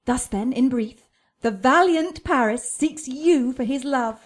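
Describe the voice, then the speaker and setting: A woman's voice: sits high, measured pace. An actress playing a purposeful noble mother in a Shakespearean radio drama. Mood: purposeful, persuasive. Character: purposeful noble mother discussing daughter's marriage. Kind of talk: classical Shakespearean radio acting.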